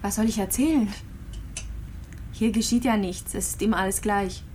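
A woman's voice: medium pitch, moderate pace, darkish tone, a little hiss or hum, lightly acted. Actress as listless, lonely sick young mother. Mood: resigned. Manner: listless, brooding. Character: resigned, lonely young mother, gravely ill and self-reproachful. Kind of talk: radio play acting.

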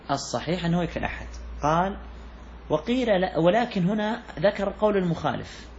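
A man's voice: a little high, vocal fry, highs cut off, slight background noise. A man teaching a class on a classical jurisprudence text, repeating key phrases and putting questions to his students. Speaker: sheikh teaching Islamic jurisprudence. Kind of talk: classroom lecture explaining a text.

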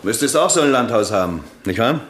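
An actor playing a brooding, envious painter in a 1960s German radio play. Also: male voice, mid-range voice, lively intonation, fairly quick, fairly bright tone, a little hiss or hum, natural voice. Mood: wistful envy. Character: brooding, envious young painter, friend of a lawyer.

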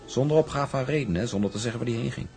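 An actor playing a confident shipping man in a drama, explaining in a measured, emphatic manner. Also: medium pitch, gravelly, darkish tone, faint music behind, lightly acted. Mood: explaining, disdainful. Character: confident shipping man briefing a woman about a rival.

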